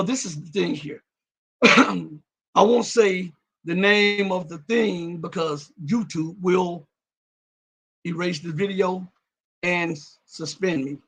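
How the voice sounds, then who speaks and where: Raspy, gravelly high-pitched man. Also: fairly bright tone. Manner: emphatic, repeats words and restarts, drops his voice to mutter asides. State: voice cracks and breaks up at times. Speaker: host of a live-stream show. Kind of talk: live-stream commentary, reading viewer comments.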